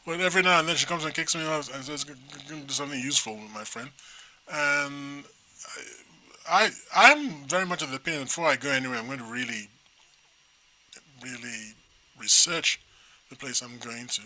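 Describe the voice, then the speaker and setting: A middle-aged man from Nigeria talks casually on a talk show, with a very bright, sharp tone. He rambles and restarts, and his pitch sits in a middle range. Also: fairly quick, long pauses, low-quality recording. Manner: rambling, animated storyteller with restarts. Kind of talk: casual chat.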